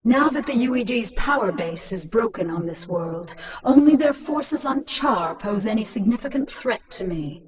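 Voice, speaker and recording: A woman's voice: a little high, animated, moderate pace, few pauses, far from the mic, close to an everyday voice. A woman playing a cold, scheming alien queen who commands without mercy in a video game. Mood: commanding, calculating. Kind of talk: dramatic video game voice acting.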